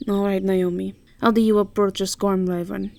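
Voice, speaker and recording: A woman voicing an authoritative, composed and wry academy professor in an amateur fantasy audio drama, with a measured, instructive manner. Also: medium pitch, flat delivery, creaky, a little mellow, no echo, natural voice.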